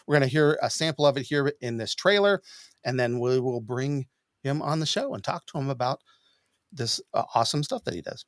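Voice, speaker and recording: A man's voice: medium pitch, lively intonation, a little fast, dry recording, studio quality. A middle-aged man hosting a live podcast, chatting in an informal, enthusiastic way. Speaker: podcast host. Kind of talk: casual live show chat. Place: small room at home, on a video call.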